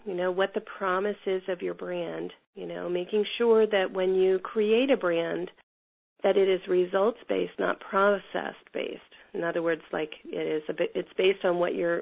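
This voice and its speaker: A woman with a cold, sounding a bit hoarse, teaching an online class in a rambling way with lots of fillers and restarts. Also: medium pitch. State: has a cold, a little hoarse. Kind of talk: teaching a class over a call. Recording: tinny phone line.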